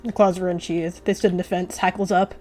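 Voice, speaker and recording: A woman's voice: on the low side, level tone, brisk, warm tone. A woman narrating a roleplay story dramatically as she plays. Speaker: roleplay narrator, video creator.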